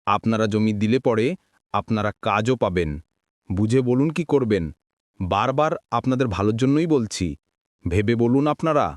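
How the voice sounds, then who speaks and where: A man's voice: lowish voice, normal speed, pauses often, no echo, clean studio sound, close to an everyday voice. A man voicing an insistent, persuasive official pressing villagers to give up land in a cartoon. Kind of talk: cartoon voice acting.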